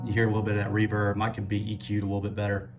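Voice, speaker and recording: A man with a low voice giving a casual tutorial, talking through settings as he adjusts them. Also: rapid-fire, highs cut off, over music. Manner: relaxed, talks while adjusting settings. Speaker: musician demonstrating gear.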